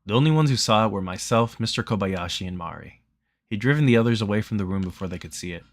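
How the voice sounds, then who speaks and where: A man's voice: fairly low pitch, animated, fast, no room sound, studio quality. A man reading visual novel narration aloud during a let's play.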